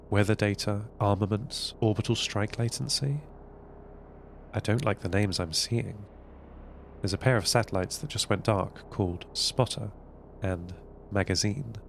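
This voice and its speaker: A man with a creaky voice playing a thoughtful, gentle artificial intelligence, narrating an audio drama in a measured, reflective way. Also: lowish voice. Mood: worried. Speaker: narrator of an audio fiction podcast. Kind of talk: first-person scripted audio drama narration.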